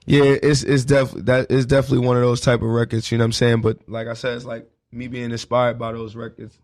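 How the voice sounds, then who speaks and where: A man's voice: mid-range voice, flat delivery, brisk, a little mellow. A man chatting casually and in slang as an artist guest on a radio interview. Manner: slangy, repeats catchphrases like "you know what I'm saying". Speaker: guest, independent hip hop artist.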